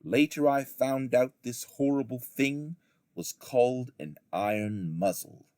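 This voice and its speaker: A man reading a story aloud like a teacher to children, in measured, deliberate phrases with dramatic emphasis. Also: medium pitch, a little mellow, no room sound, studio quality. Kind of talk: expressive storybook narration.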